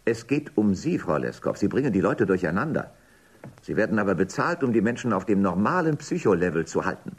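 A stern dystopian official with a very deep, rough-edged voice. He speaks with animated, swinging intonation. His manner is officious and accusing, in radio drama style. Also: a little fast. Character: stern bureaucratic male interrogator in a dystopian society. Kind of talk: German radio drama acting.

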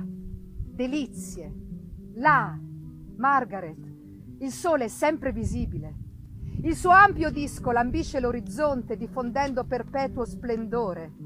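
A woman giving a dramatic literary reading, theatrical and emphatic, with deliberate phrasing and pauses. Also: fairly high pitch, rough recording. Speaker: reader performing a literary audio reading. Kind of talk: dramatic literary reading of a gothic novel's letters, with live music. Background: live musical accompaniment.

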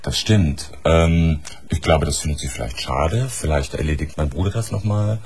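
A man's voice: a bass voice, normal speed, darkish tone, slight background noise. A man in his thirties who grew up in Norway, a studio guest talking frankly and articulately about his life. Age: 30s. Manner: frank, articulate, self-assured. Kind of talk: candid interview talk. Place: radio studio.

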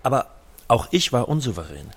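An actor playing a slick, self-assured middle-aged car dealer in a radio drama, smooth-talking and expressive. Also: male voice, mid-range voice, fairly quick, natural voice. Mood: flattering, coaxing. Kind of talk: German audio drama acting.

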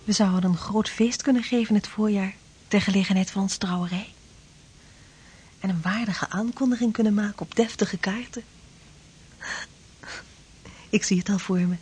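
Casting: actress as a young fiancée, breathy, radio drama. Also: female voice, mid-range voice, fairly even intonation, moderate pace, long pauses, a little mellow. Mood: longing. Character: young Dutch merchant's daughter, devoted fiancée.

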